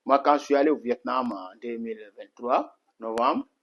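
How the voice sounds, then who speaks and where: A middle-aged man from Senegal speaks earnestly at a moderate pitch, his sentences circling back through restarts and repeated fillers. He talks as a martial arts instructor giving an interview. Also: very animated, moderate pace, vocal fry, highs cut off. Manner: earnest, repetitive, many restarts and "voilà" fillers. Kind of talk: spoken interview answer.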